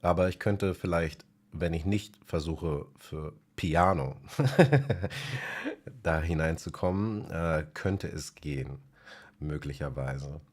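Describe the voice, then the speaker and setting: A man's voice: a bass voice, animated, takes it slowly. A man chatting casually in his native German on his music channel, thinking aloud with many filler sounds. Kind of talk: casual spoken vlog.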